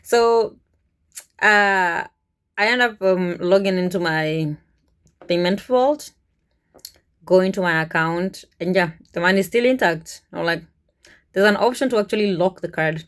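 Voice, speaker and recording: Kenyan woman telling a story, animated. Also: fairly low pitch, a little slow, long pauses, smooth. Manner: animated, chuckles often, conversational. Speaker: vlogger, cruise ship worker. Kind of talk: casual storytelling to camera.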